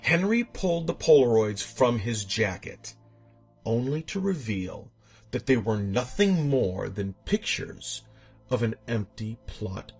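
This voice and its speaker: A man's voice: mid-range voice, breathy edge. A man narrating a scripted horror story in a theatrical, suspenseful way.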